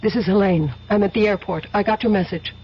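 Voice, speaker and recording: An actress playing a composed young woman caught up in smuggling, speaking calmly and evenly. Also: medium pitch, fast talker, lightly acted. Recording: lo-fi radio broadcast with clear hiss.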